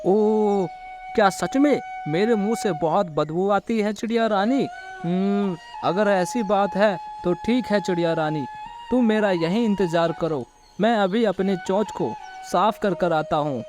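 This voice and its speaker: Casting: man as sly, hungry cartoon vulture, gloating, unhurried delivery, prominent music underneath. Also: high voice. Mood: puzzled, agreeable. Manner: gloating, drawn-out laughs and hums. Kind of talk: children's cartoon story dialogue. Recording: loud music bed.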